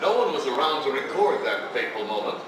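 A man's voice: mid-range voice, very animated, fast talker, over a noisy crowd. A man giving scripted exhibit narration in a theatrical, playful manner. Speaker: pre-show narrator. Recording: echoey hall playback from far off, music. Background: loud music.

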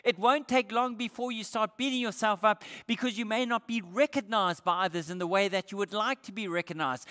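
Older pastor preaching in a reverberant church. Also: male voice. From Australia. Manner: emphatic, rising on scripture quotes and dropping low for quiet points. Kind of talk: sermon. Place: church stage with brick wall. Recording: church hall with long reverb.

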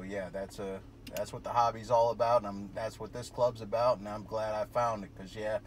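A man talking casually as a radio operator, rambling through jargon, recorded on a cell phone. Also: fairly low pitch. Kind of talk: casual radio contact chat. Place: vehicle parked at night. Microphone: cell phone held near the radio. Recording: cell phone video with clear background noise.

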